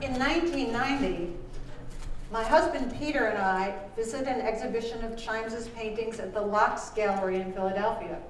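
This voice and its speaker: A woman author reading her book aloud at a live event, measured and deliberate with pauses. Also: fairly high pitch. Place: live book launch performance in a room. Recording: echoey room, distant mic, faint noise.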